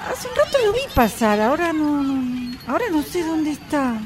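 A woman's voice: high voice, very animated, moderate pace, music in the background. A woman with an Argentine accent playing an easygoing character chatting casually in an amateur radio play. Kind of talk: amateur radio drama acting, casual chat.

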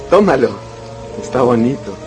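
Radio drama role: amused, curious tribesman, slow delivery over music. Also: medium pitch, big pitch swings, rough recording. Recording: music under the voice.

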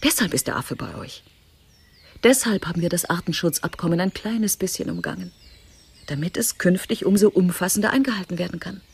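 An actress playing a composed, domineering woman in a German radio play, emphatic and deliberate. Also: on the low side, animated, slightly rough, heavily acted. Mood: justifying.

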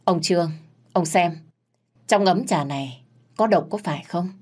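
A woman's voice: lowish voice, measured pace, a little mellow, breathy edge. A woman reading a composed young master. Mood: level questioning.

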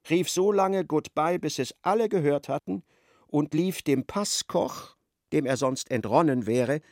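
A man narrating a radio play in an expressive, literary storytelling style. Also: a little high, no echo, clean studio sound.

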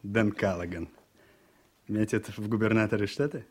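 An actor playing a gossipy court clerk in a radio drama, talking in a familiar, insinuating way. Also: male voice, lowish voice, steady tone, normal speed, a little mellow, close to an everyday voice. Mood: chatty, curious. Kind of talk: Soviet-era radio drama acting.

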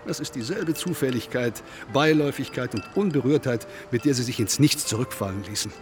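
An actor in a radio play playing a self-absorbed congress guest who philosophizes in a pompous, long-winded, declamatory way. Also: male voice, mid-range voice, animated, fairly quick, a little mellow, soft background music, natural voice. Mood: grandly pondering. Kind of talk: German radio play acting.

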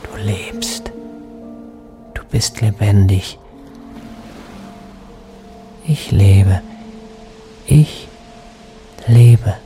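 A very slow, dreamy lost soul. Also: male voice, on the low side. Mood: awed. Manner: halting, breathy half-whispered phrases with long pauses. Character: lost soul, a dreamy male spirit seeking redemption. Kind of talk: slow poetic radio drama monologue. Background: music.

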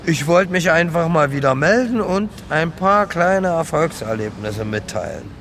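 A man's voice: on the high side, animated, unhurried, fairly bright tone. An actor playing a hapless, guileless homeless man and petty thief in a naturalistic radio drama, talking in a plain, naive way. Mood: proud, pleased. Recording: noisy outdoor street with traffic.

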